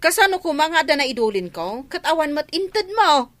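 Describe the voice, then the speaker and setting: A shrill, exasperated wife in an Ilocano radio drama, nagging her husband about liquor and money. Her voice rides high and swings widely, bright and cutting, and often cracks toward sobbing. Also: sing-song, normal speed. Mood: scolding. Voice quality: shrill, scolding, voice breaking into sobs.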